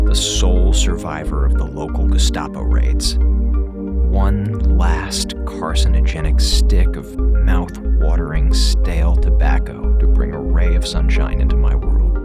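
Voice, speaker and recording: A man's voice: a bass voice, steady tone, slow, over loud music, exaggerated voice. A man playing a sardonic, melodramatic communications officer on a space station in an audio drama, with a theatrical, mock-solemn delivery. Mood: grandiose, defiant. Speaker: communications officer recording a log. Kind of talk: audio drama acting, log narration.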